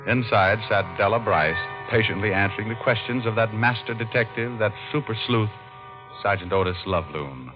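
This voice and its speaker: A hard-boiled, wisecracking detective, played by an actor with a low voice and a dry, sardonic delivery. It is vintage radio noir, dull in sound, with music behind. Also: male voice, moderate pace, close to an everyday voice. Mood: dry narration. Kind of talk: 1940s radio noir acting and narration. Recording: muffled old radio recording, music behind.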